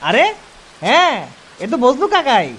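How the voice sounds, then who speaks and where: Audio storybook friend: young rural man male actor, very high voice, teasing and chatty. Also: sing-song, moderate pace, a bit bright, a little hiss or hum, heavily acted. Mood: chatty, casual.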